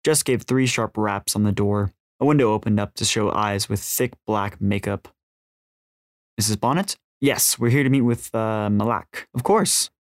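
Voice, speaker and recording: Low-voiced male fiction narrator. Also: no echo, studio quality. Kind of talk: scripted audiobook fiction narration.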